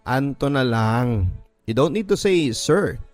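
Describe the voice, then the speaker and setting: A man's voice: mid-range voice, lively intonation, slow, a little mellow, dry recording, soft background music. A man playing an easygoing, confident young male boss in a radio drama, casually mixing in English. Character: friendly, confident young male company boss in his early twenties.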